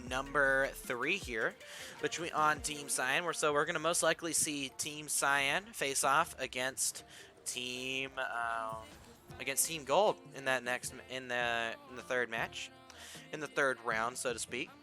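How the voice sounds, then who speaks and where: A young man casting an esports match and chatting with his stream chat, his voice a bit raspy from losing it. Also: mid-range voice, unhurried, sharp tone. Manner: rambling, chatty, talks to chat. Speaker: esports caster and stream host. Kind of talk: casual play-by-play commentary with chat. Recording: music playing behind. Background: stream music.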